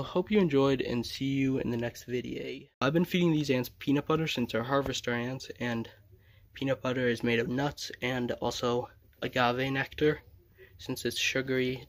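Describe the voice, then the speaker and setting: A man's voice: medium pitch, creaky, dry recording. A young man casually narrating his own home video as a vlogger. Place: indoors in a room at home. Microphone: handheld phone behind the camera.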